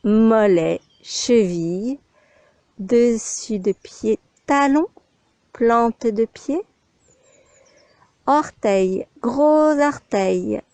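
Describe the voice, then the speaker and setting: A woman leads a guided meditation as a yoga instructor, speaking extremely slowly in short instructions. Her voice is mellow and fairly high. The sound is very dry and close, with pauses between the phrases. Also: lively intonation, no room sound.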